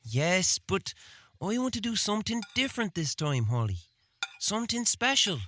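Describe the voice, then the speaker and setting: An actress playing a determined, protective older sister, a young villager speaking earnestly in a fantasy audio drama. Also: female voice, mid-range voice, normal speed, slight background noise. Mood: fretful. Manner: earnest, expressive.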